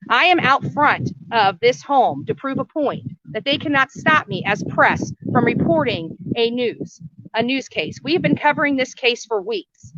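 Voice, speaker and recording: A woman's voice: a little high, a bit bright, no echo, highs cut off. A woman reporting live to her viewers outdoors as an independent commentator, speaking in an indignant, blunt and emphatic way and repeating phrases for emphasis. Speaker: independent livestream reporter, host of own show. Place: outdoors at a roadside in front of a house.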